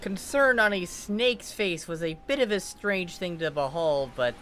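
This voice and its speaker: Bright, low-pitched woman reading a story. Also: animated, a little slow, slight background noise. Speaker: fan fiction reader. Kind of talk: scripted story narration with character voices.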